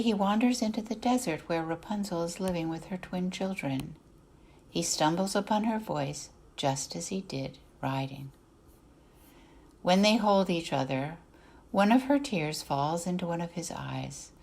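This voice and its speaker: A woman reading a literary fairy tale for adults as narrator, with deliberate, dramatic phrasing that trails off softly at phrase ends. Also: on the low side. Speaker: narrator, artist reading excerpts. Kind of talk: scripted storybook narration for adults.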